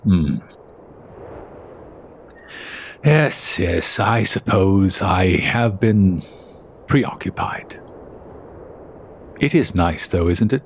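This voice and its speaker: A man's voice: sits low, animated, slow, long pauses, a little hiss or hum, full character voice. A man playing a formal, bookish and haughty adventurer in a fantasy audio drama. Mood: preoccupied, wry.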